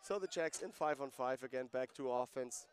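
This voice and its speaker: A man's voice: medium pitch, normal speed, a little hiss or hum. A man giving live play-by-play commentary on a lacrosse game, conversational and explaining rules and player backgrounds between plays.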